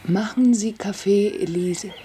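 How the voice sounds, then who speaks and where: A woman's voice: medium pitch, a little slow, dark tone, a little hiss or hum, natural voice. An actress playing a meek, earnest young country girl in a German radio drama. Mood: hushed.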